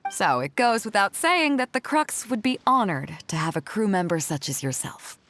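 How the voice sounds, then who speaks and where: A woman's voice: medium pitch, big pitch swings, moderate pace, a bit bright, slight background noise. An actress playing a confident, easygoing woman fleet captain in a video game, with an assured, commanding, colloquial manner. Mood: wry, respectful.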